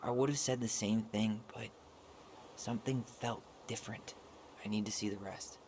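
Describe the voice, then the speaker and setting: A man's voice: fairly low pitch, steady tone, normal speed, a little mellow, natural voice. Man acting an earnest young man, noisy audio. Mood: uneasy, searching. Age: young adult. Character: earnest, insistent young man haunted by the past. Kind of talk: naturalistic video game cutscene acting.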